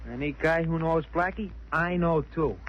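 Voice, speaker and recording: A man's voice: fairly high pitch, fairly even intonation, normal speed, lightly acted. An actor playing an eager, loyal, simple male sidekick with slangy, plaintive talk in 1940s radio style. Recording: dull, hissy old radio recording.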